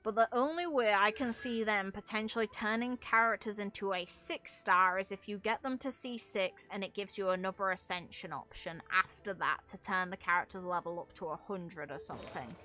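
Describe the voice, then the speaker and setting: Female game streamer, bright medium-pitched voice, casual opinionated rambling, music in the background. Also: normal speed, few pauses. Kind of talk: casual gaming commentary.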